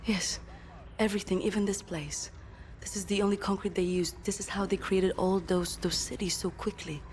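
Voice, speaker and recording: An actress playing a composed resistance researcher in a game cutscene, speaking in a measured, inquisitive way. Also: female voice, medium pitch, fairly even intonation, darkish tone, slight background noise, close to an everyday voice. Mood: focused.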